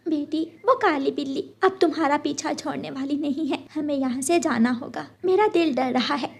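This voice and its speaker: A woman's voice: sits high, normal speed, vocal fry. A woman voicing a protective, worried mother bird, earnest and motherly, in a children's cartoon. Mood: worried, tender.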